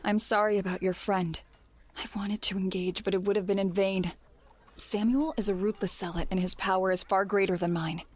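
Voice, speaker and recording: A woman playing a resolute lady knight in an audio drama, with a formal, serious and measured delivery. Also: mid-range voice, steady tone, lightly acted. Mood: grave.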